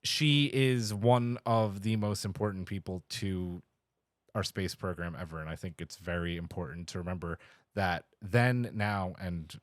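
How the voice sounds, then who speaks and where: A man co-hosting a podcast in casual, heartfelt chat, telling stories emphatically and haltingly. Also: deep voice, dry recording, clean studio sound. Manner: emphatic, halting, enthusiastic storytelling with acted-out quotes. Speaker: podcast co-host.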